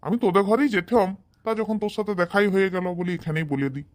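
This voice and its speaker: A woman's voice: mid-range voice, expressive, quick pace, a little mellow, close to an everyday voice. A woman voicing a doting, folksy old village man, newly remarried, in a comedy cartoon. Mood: friendly, instructing.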